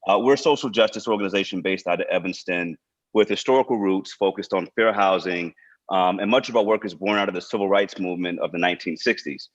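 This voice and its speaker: A man's voice: deep voice, fast. A man speaking as a nonprofit director, giving informal prepared remarks to an online panel over a video call. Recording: dull, lo-fi video call audio.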